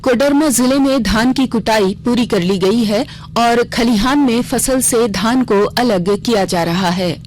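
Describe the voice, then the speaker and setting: A woman's voice: on the high side, measured pace, few pauses, darkish tone. A woman reading a formal scripted radio news bulletin as the anchor. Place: radio studio. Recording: clearly noisy regional radio broadcast.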